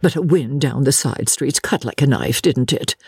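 A woman voicing a fussy, theatrical older English lady from a novel, gushing and bossy, with drawn-out, affected exclamations. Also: rumbling low, lively intonation, a little mellow, slightly rough, no room sound, clean studio sound, exaggerated voice. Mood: breezy, opinionated.

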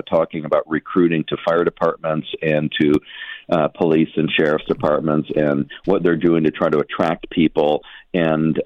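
A man with an extremely low voice speaks over a narrow, phone-like line with no room sound. He explains news in a measured, conversational way, pausing and feeling for his phrasing as a guest on a radio show. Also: no room sound. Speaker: newspaper editor, radio guest.

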